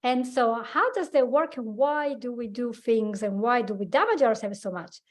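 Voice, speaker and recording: Italian-accented woman, animated and laughing. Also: a little high, moderate pace. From Italy. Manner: animated, laughs often, slightly broken English. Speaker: guest, coach and hypnotherapist. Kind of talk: casual interview chat.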